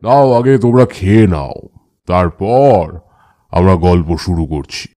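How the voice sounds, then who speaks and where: Man as a veteran tantric, deepish soft-edged voice, measured storytelling, reverent, audio horror drama. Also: lively intonation. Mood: hospitable. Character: Taranath, seasoned male tantric recounting his past.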